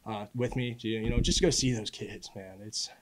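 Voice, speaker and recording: A man in his early twenties, a college quarterback, answering press questions in a casual, earnest way with halting repeated words. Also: lowish voice, steady tone, a crowd in the background. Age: early 20s. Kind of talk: postgame press conference answers. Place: indoor press room at a podium. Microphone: podium microphone.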